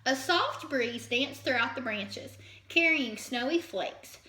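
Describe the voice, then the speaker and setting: Animated, high-voiced woman reading a storybook. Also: takes it slowly, reverberant, rough recording. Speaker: teacher reading a storybook aloud. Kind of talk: expressive children's read-aloud.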